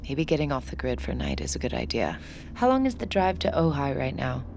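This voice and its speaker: Guarded, dryly wry daughter for intimate audio drama, a low register and gravelly fry, understated delivery. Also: female voice, wildly swooping pitch, brisk, darkish tone, slight background noise, full character voice. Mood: wary, dry. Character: guarded, wry adult daughter, a recovering addict longing for closeness. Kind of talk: naturalistic audio drama acting with confiding narration.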